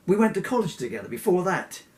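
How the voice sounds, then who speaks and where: A man's voice: high voice, big pitch swings, fast, far from the mic, pitched above the performer's own voice. A man voicing a wretched, indignant male ghost, insistent and exasperated, in a comic storybook reading. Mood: exasperated pleading. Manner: archaic, insistent, increasingly exasperated.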